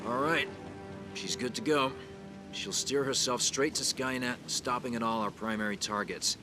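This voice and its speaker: A man's voice: mid-range voice, normal speed, music in the background, natural voice. An actor playing a weary, determined male resistance fighter in a video game.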